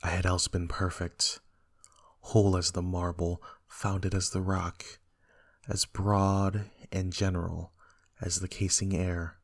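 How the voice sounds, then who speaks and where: A man playing a guilt-ridden usurper king in a Shakespearean dramatic reading, with measured, declamatory verse delivery. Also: low voice, little pitch movement, full character voice. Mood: brooding, uneasy. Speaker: amateur actor in a library's dramatic reading.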